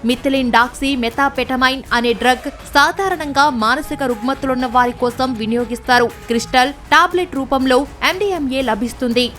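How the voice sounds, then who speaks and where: High-pitched woman reading news. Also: brisk, hardly any pauses, fairly bright tone, far from the mic. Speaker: news reporter narrator. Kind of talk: scripted TV news narration.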